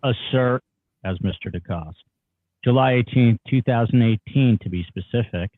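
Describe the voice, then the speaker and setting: A man's voice: medium pitch, normal speed, no room sound, close to an everyday voice. A man reading the part of a terse attorney instructing a client in clipped, firm interjections, over a narrow phone-like line. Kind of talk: dramatic reading of a legal transcript.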